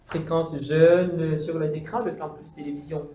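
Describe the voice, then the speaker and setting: A man with a Cameroonian French accent hosting a studio talk show, with formal, unhurried introductions full of fillers. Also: on the high side, little pitch movement, mellow, recorded from a distance, slight background noise. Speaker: host. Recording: echoey room, voice off-mic.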